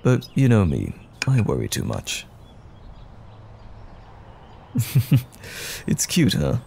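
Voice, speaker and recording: A man playing a loving, caring boyfriend in an intimate comfort audio roleplay, speaking gently and soothingly with affectionate teasing. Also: mid-range voice, huge pitch swings, very slow, creaky, slight background noise, heavily acted. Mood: gently concerned.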